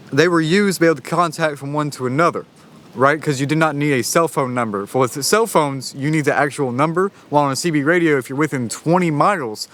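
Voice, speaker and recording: A man's voice: medium pitch, a bit bright. A man presenting an informal explainer in a narrating style.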